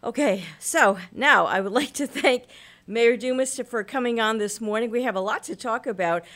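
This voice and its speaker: Hoarse woman hosting local radio. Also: on the high side, moderate pace, fairly bright tone. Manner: chatty, rambling with fillers. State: hoarse from illness. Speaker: radio show host. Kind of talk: local radio talk show.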